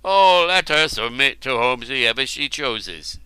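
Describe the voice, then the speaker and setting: A man's voice: medium pitch, lively intonation, measured pace, bright, forceful tone, clean tone, no echo. A man voicing a bored, smug young warrior in a storybook reading, drawling his words. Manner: languid, drawling.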